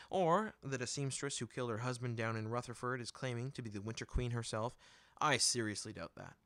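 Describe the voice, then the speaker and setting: A sassy young tavern girl, as a male narrator reads her, wry and sarcastic. The delivery is bright and dry, in a fantasy storybook style. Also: medium pitch, dry recording, close to an everyday voice.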